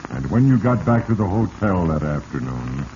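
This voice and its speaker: An ominous male narrator speaks as accusing fate in a 1940s radio mystery. His voice is very low and dark, solemn in delivery, on a muffled old recording. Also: very animated, normal speed, a little hiss or hum.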